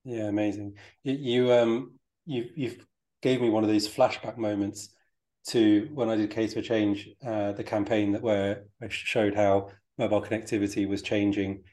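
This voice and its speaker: A man's voice: on the low side, level tone, mellow. A man hosting a podcast interview who asks questions hesitantly, with many ums and restarts. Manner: hesitant, fills pauses with ums, restarts sentences. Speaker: podcast host.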